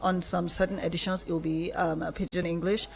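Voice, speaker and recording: A woman with a Nigerian accent hosting a radio talk show in a measured, conversational way. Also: fairly low pitch, little pitch movement, creaky. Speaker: radio host. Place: radio studio.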